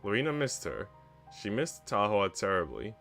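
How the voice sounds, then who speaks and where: A man's voice: fairly low pitch, big pitch swings, normal speed, creaky, fairly bright tone. A man streaming himself reading a visual novel aloud, narrating like a storybook and dropping into casual chat. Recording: music behind the voice. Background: background music.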